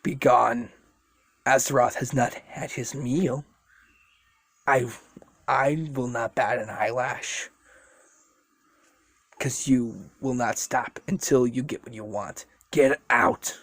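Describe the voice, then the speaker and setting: An amateur narrator voicing an earnest, idealistic young male hero, pitched high and pleading in a spoken fan story. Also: fairly even intonation, slow, pauses often, dry recording. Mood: cold.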